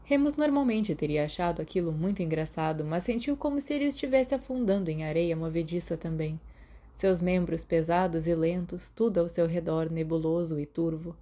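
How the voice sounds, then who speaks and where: Low, mellow Brazilian woman narrating. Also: brisk. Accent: Brazilian Portuguese. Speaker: podfic reader. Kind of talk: audiobook narration of fan fiction.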